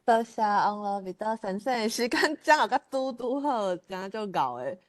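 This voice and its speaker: A woman's voice: mid-range voice, very animated, moderate pace, no room sound, clean studio sound. A woman with a Taiwanese accent moderating an event, introducing speakers. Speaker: host, moderator. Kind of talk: event hosting.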